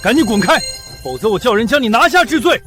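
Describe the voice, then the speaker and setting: An actor playing a pompous, bullying general in a costume drama, blustering and accusatory. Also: male voice, very high-pitched, big pitch swings, quick pace, bright, forceful tone, soft background music, full character voice. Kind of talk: costume short-drama acting.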